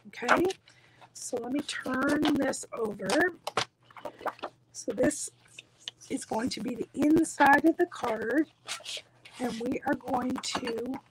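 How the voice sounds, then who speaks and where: A hoarse woman with a croaky voice, walking through a craft tutorial step by step in a reassuring, casual way. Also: on the high side, noisy background. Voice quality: croaky, husky. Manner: reassuring, instructional. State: hoarse, losing her voice. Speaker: craft tutorial host, demonstrator.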